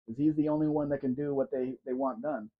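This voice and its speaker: A man hosts a relaxed live Q&A, rambling easily and calling out viewers by name. His voice sits in a middling pitch. The audio is dull and muffled. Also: fast talker. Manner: chatty, answers chat by name, wanders while talking. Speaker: livestream host. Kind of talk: casual live Q&A chat.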